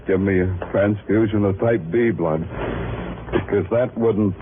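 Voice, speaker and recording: A man's voice: lowish voice, measured pace, phone-quality sound, noisy background, close to an everyday voice. An American man playing a wry, hard-boiled police lieutenant in a 1940s radio crime drama, with clipped, wisecracking delivery. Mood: accusing. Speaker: lead actor and narrator. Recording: band-limited 1940s radio recording with music.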